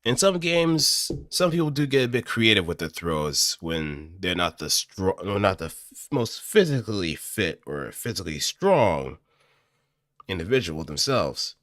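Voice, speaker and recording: Low-pitched man, bright dry close-miked voice. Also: sing-song, a little slow, no room sound. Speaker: amateur voice actor. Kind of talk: casual improvised explanatory commentary. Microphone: close studio microphone through an audio interface.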